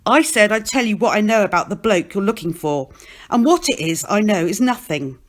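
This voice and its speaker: Woman voicing a glum, bitter fairground hustler man, lively pitch. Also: normal speed, natural voice. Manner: sighing, downbeat, needling.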